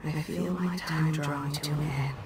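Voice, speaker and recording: An actress voicing a gentle, fading mother's spirit in a video game, solemn, hushed and sorrowful. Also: low-pitched, fairly even intonation, moderate pace, very noisy, close to an everyday voice.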